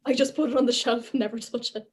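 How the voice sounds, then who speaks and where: A woman's voice: on the high side, steady tone, quick pace, breathy edge. A young adult woman hosting a student podcast in a casual, chatty style.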